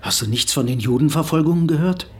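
A man's voice: fairly high pitch, quick pace, darkish tone, husky. An actor playing an earnest, persecuted businessman in radio play dialogue, probing and reproachful. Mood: alarmed. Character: earnest, appalled persecuted businessman confronting his partner.

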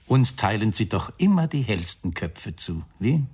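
Low-voiced sardonic police inspector. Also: male voice, sing-song, a little slow, darkish tone, husky, full character voice. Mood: sardonic, brusque. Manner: dry, teasing, politely probing. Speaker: actor in a radio crime play. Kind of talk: German radio crime drama acting.

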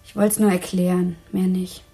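A woman's voice: on the low side, flat delivery, normal speed, dark tone, breathy edge. An actress playing a young working-class woman in a radio drama, defensive and frightened, with coarse, hesitant colloquial speech. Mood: subdued, earnest. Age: young adult. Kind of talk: naturalistic radio drama acting.

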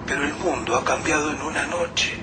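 Radio drama casting: actor for distrustful, anxious Argentine man, colloquial, high-voiced, heard off-mic through noise. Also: brisk, natural voice. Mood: fearful, distrustful. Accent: Rioplatense Argentine Spanish. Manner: colloquial, urgent. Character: Lucas, anxious distrustful man surviving a deadly snowfall. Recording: noisy, distant from the mic.

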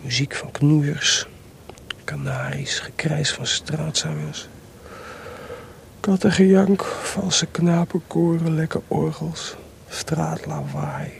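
A man's voice: on the high side, slow pace, creaky, slight background noise, heavily acted. A man playing an anxious, frustrated court composer in a radio drama, agitated and hesitant in manner. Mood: bitter.

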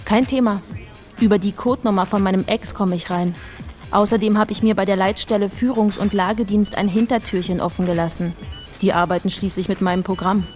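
An actress playing a cool, capable woman hacker with a brisk, unfussy manner in a German radio crime drama. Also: medium pitch, fairly even intonation, darkish tone, soft background music, close to an everyday voice. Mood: confident. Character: cool, capable female computer expert and hacker.

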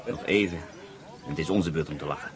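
An actor playing a calculating German prisoner who plans an escape in a radio play. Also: male voice, extremely low pitch, quick pace, crowd noise. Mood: scheming. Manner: calm, calculating. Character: cunning German POW escape planner.

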